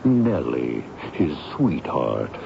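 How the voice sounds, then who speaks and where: A man playing a gruff, stubborn, sarcastic tenant with a curt, dry manner in a vintage radio drama. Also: rumbling low, sing-song, very slow, heavily acted. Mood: dismissive, reasoning. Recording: dull, noisy old radio broadcast recording.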